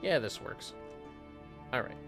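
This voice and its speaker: A man's voice: slow pace, music in the background. A man giving casual, chatty gameplay commentary with joking asides as he livestreams a fantasy game. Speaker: livestreamer playing an online fantasy RPG.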